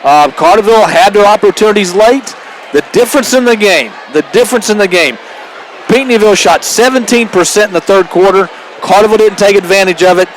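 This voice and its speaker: An American man gives radio color commentary after a game. His voice is distinctly high for a man, and he leans emphatically on his points and figures. A light wash of venue noise sits behind him. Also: brisk, low-quality recording. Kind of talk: post-game analysis with statistics.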